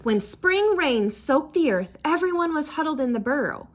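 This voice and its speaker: Woman, high lively voice, expressive storybook read-aloud for children. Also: moderate pace. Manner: expressive, prompts listeners with questions. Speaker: teacher reading a picture book aloud to children. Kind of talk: animated storybook read-aloud with asides to listeners.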